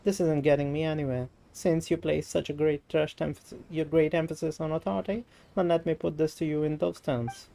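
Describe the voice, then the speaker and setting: An Indian man voicing a haughty, lecturing shrine maiden from a video game, impatient and sharp-tongued. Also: a little high, fairly even intonation, a little fast, gravelly. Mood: exasperated, insistent.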